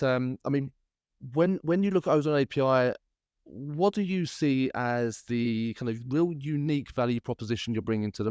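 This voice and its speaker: A man's voice: medium pitch, a little mellow, clear, resonant tone, no echo, studio quality. A man hosting a podcast interview, upbeat and chatty, with frequent fillers and restarts. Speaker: podcast host. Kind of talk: casual interview chat.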